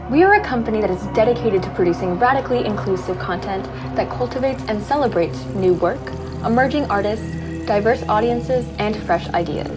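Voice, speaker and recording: Woman reading scripted outro credits, medium pitch, loud music under her. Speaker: announcer for an audio play series.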